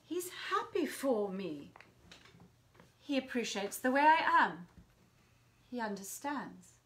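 A woman's voice: a little high, sing-song, slow pace, fairly bright tone, exaggerated voice. A woman acting in a stage play as a possessive mistress, coaxing and pleading with her lover. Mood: defensive.

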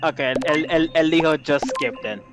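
A man in a casual online game, excitable and defensive, switching between Spanish and English as he pleads his case. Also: fairly high pitch, a little fast. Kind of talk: casual gaming chat. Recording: game music behind.

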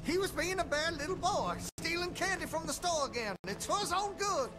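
An actor playing a deranged middle-aged gunsmith who sounds falsely friendly and wheedling. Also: male voice, very high-pitched, animated, fairly quick, music in the background. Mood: creepy.

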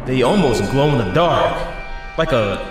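A man's voice: medium pitch, expressive, measured pace, natural voice. A man playing a jumpy young hero, cavernous echo. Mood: stunned, frightened. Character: nervous, sarcastic young male vigilante hero searching sewers. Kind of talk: audio drama acting with inner narration. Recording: very echoey tunnel reverb, music underneath.

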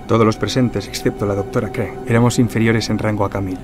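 An actor playing an observant deputy detective, narrating a noir radio drama in the first person. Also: male voice, on the low side, fairly even intonation, fast, music in the background, natural voice. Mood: grave, measured.

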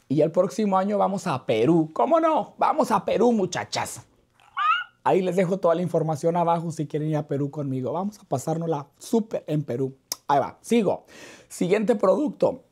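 Hoarse, mellow-voiced man, high for a male. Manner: animated, playful, chummy with viewers, uses diminutives. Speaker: skincare video host. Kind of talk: chatty beauty vlog to camera. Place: furnished living room indoors. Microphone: clip-on lapel mic.

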